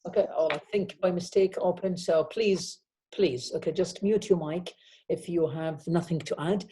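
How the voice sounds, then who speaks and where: Male professor, mellow tone. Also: sits high, moderate pace. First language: Arabic. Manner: explanatory, frequent "okay?" checks. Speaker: university professor. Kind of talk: online lecture. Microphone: video call.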